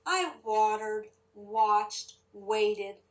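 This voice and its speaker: A woman reading a picture book and teaching young children, speaking deliberately and putting questions to her listeners. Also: medium pitch. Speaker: children's story reader and teacher.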